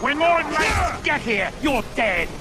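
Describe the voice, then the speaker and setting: An actor playing a sneering, defiant orc fighter in a video game, taunting with scorn. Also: male voice, very high-pitched, very animated, slow, heavily acted. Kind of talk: dramatic video game acting. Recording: shouted over very loud battle noise.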